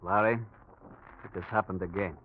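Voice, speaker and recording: An actor playing a warm, emotional pilot and protective friend, with a Spanish accent and expressive phrasing, in vintage radio drama style. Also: male voice, low-pitched, a little slow. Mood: grave. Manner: expressive, gentle, theatrical phrasing. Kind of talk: 1940s radio drama acting. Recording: tinny, hissy old radio recording.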